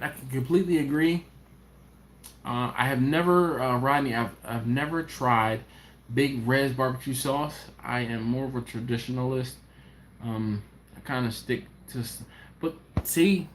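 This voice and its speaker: A man hosting a casual live stream, reading viewer comments aloud and rambling with frequent restarts. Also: mid-range voice. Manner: rambling, talks to viewers directly, restarts often. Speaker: barbecue channel host. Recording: roomy echo, faint ambient noise.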